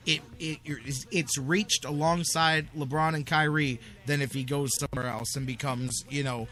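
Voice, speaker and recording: A man's voice: fairly high pitch, steady tone, normal speed, sharp tone. A man hosting a sports talk radio show, giving opinions in an emphatic, insistent way and repeating his points.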